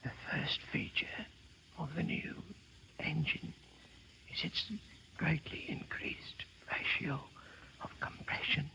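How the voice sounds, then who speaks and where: A dying stage performer whispers in slow, halting phrases, dutifully reciting what he has memorised. The voice is breathy and failing, on a dull, muffled 1930s radio recording. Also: a little high, fairly even intonation, bright, forceful tone, heavily acted. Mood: laboured, reciting. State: gravely wounded, failing. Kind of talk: 1930s radio drama acting.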